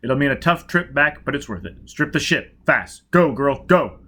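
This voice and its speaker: A man voicing a brutal, driven spacer who barks clipped, loud orders in an audiobook reading. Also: mid-range voice, expressive, moderate pace. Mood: urgent.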